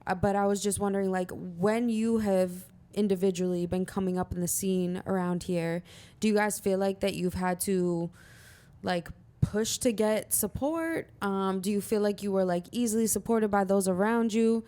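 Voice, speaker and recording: Young woman, podcast host, chatty, upbeat, casual questions, middle pitch. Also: steady tone, moderate pace, mellow. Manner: chatty, upbeat, laughs often.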